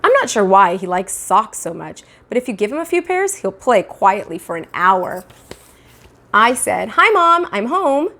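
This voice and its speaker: A woman's voice: mid-range voice, moderate pace, creaky. A woman in her thirties reading a children's book aloud expressively, like a teacher with a class book. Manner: animated, acting out dialogue. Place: small indoor room at home. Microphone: clip-on lapel mic.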